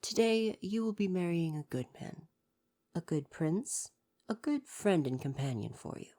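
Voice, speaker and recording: A man's voice: sits high, expressive, unhurried, mellow. A man playing a devoted, proper royal butler in an ASMR roleplay, speaking to the listener in a formal, deferential, fussily attentive way. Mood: dutiful, fussy.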